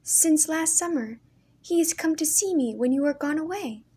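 A woman voicing a timid, gentle young girl who speaks high and breathless. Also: expressive, moderate pace. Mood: shy.

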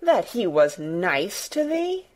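A woman's voice: mid-range voice, expressive, measured pace. A woman voicing an agitated, plain-spoken young housemaid, exclaiming in dialect as in a storybook. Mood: astonished.

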